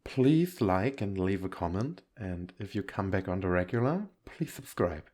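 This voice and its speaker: A man with a fairly low voice talks casually to his viewers as an audio creator. He rambles in a giggly way and often corrects himself. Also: expressive, moderate pace, a little mellow. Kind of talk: casual outro addressed to viewers.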